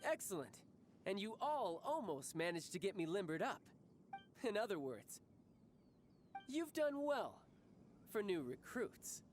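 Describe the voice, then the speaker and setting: Cocky young warrior captain. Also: male voice, high voice, very animated, unhurried, long pauses, a little hiss or hum. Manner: breezy, confident and teasing. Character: cocky young male warrior commander, playful. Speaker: military commander. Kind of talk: video game voice acting. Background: game music.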